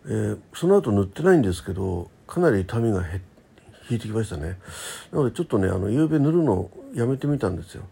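A man hosting a casual solo radio chat, talking in a halting, rambling way and often correcting himself. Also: fairly low pitch, very animated, creaky, mellow, breathy edge. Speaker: internet radio host.

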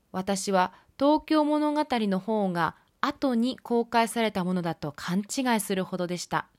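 A woman's voice: on the high side, dry recording. Woman narrating a film review, dry close sound. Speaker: film review podcast host.

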